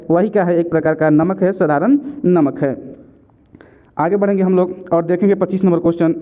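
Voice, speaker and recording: A man teaching exam questions and answers in a didactic way, repeating key points as reminders. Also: high-pitched. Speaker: exam-prep chemistry teacher. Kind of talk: question-and-answer lesson narration. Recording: narrow, tinny phone-like sound.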